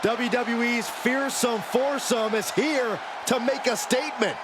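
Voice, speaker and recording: Indignant high-voiced commentator man. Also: measured pace, over a noisy crowd, heavy background noise. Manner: indignant, animated. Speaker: wrestling commentator. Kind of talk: color commentary.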